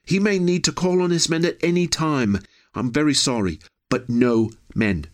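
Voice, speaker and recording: A male narrator playing a stern, formal steward who speaks quietly and firmly. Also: fairly high pitch, moderate pace, voice pushed up, lightly acted. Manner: quiet, firm, formal refusal.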